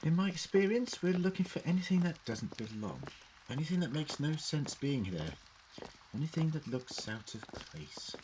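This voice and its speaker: A man plays a confident, eccentric time traveller with a wry streak. His intonation is lively and self-assured. Clear noise sits behind this amateur audio drama. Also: a little high, moderate pace. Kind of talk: fan audio drama acting.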